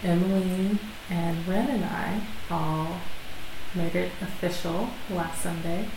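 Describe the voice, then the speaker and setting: Woman, lowish voice, gravelly, chatty and rambling, echoey dining room, hissy recording. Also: steady tone, slow pace. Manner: chatty, laughs often, rambling. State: allergies. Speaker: podcast co-host. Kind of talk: casual chat.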